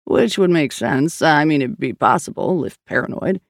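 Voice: mid-range voice, lively intonation, moderate pace, vocal fry, dry recording. An audiobook narrator playing a weary, skeptical male police partner, blunt and dryly sardonic. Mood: matter-of-fact. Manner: dry, sardonic, reluctant.

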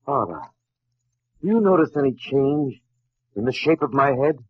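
A man's voice: mid-range voice, lively intonation, normal speed, dry recording, lightly acted. An actor playing a driven young male scientist in an old radio drama, intense and melodramatic. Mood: alarmed. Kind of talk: 1940s radio acting. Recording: muffled, dry old radio recording.

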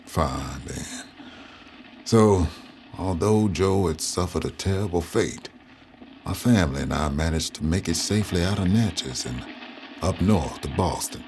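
Deep-voiced old grandfather. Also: animated, a little slow, a little mellow. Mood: gruff, reflective. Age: older. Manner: folksy, plainspoken. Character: old formerly enslaved Southern grandfather recounting his life. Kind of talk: audio drama reminiscence. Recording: clear background noise and ambience.

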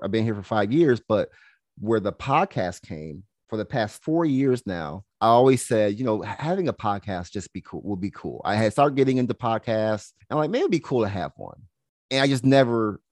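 A young man, a health coach, chatting casually in an interview, relaxed and laughing easily, with plenty of "you know"s. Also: lowish voice, no room sound, studio quality. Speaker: health coach and podcast guest.

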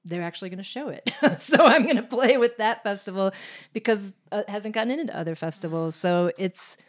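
A woman's voice: medium pitch, brisk. A woman speaking casually as an interview guest, candid and self-deprecating. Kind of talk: casual conversation.

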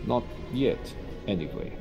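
A man's voice: lowish voice, animated, a little slow, creaky, music in the background. A man playing a grandiose, smug professor who commands a secret project in an audio drama. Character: grandiose, coldly proud male Russian professor leading a secret launch.

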